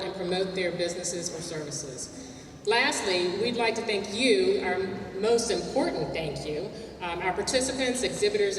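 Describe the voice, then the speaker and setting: A woman's voice: medium pitch, slight background noise. A woman emceeing a business networking event in a hotel hall, with a big projecting voice and some filler words. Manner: loud projecting voice. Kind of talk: welcome speech at a business event. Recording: echoey hall, mic from far off.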